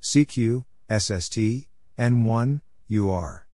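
A man reading out call signs and short radio exchanges for code practice in clipped, deliberate, separated phrases. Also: lowish voice, mellow, a little hiss or hum. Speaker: Morse code practice narrator.

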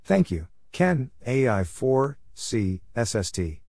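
A man's voice: medium pitch, big pitch swings, slow pace, vocal fry, a little mellow, dry recording. A man reading out radio code practice exchanges, spelling letters and numbers one at a time in short separate phrases. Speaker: Morse code practice narrator. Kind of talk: spoken readout for code practice.

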